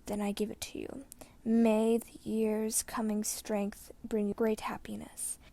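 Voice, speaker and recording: A woman voicing a frail, wise old man on his deathbed, speaking weakly and breathily with halting phrases. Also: fairly high pitch, monotone, dark tone. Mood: solemn farewell. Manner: weak, breathy, halting speech. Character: frail dying old man, wise, grieving former warrior.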